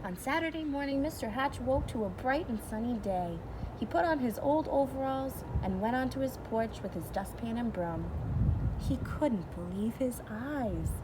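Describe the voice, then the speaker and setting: A woman teacher reading a children's storybook aloud, expressively, and pausing to explain it simply. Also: fairly high pitch, animated. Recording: clear background noise.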